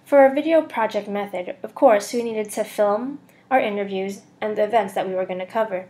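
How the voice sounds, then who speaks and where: A woman's voice: mid-range voice, fairly quick, dark tone. A young woman student reading a scripted voice-over for a slide presentation. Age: young adult.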